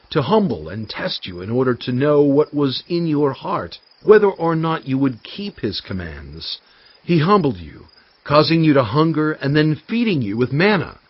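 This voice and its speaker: An actor playing a solemn, impassioned male prophet in a dramatised scripture reading, speaking with emphatic, oratorical, deliberate phrasing. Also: medium pitch, slightly rough, no room sound. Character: Moses, solemn, impassioned male prophet exhorting his people. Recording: dull, band-limited audio with music behind.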